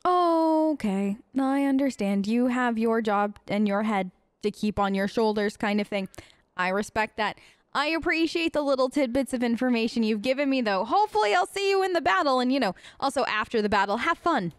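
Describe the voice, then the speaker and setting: A woman's voice: a little high, moderate pace, smooth, no echo, clean studio sound. A woman hosting a gaming video, improvising role-play chat in a chatty, polite, rambling way.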